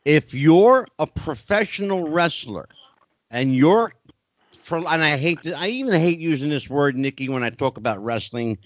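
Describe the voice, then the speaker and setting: A man hosting a podcast panel who talks excitably and keeps jumping in with questions. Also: on the high side, normal speed, no room sound, muffled recording. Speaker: podcast host. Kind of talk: casual podcast panel chat.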